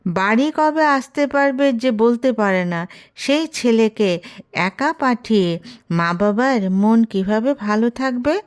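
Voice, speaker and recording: A woman's voice: a little high, takes it slowly, clear, resonant tone. A woman reading a scripted romantic story aloud as a storyteller. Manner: reads character dialogue within the narration.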